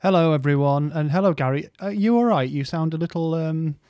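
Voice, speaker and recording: A man's voice: a little high, brisk, darkish tone, no echo, studio quality. A man co-hosting a casual music history podcast, rambling through stories in a playful way.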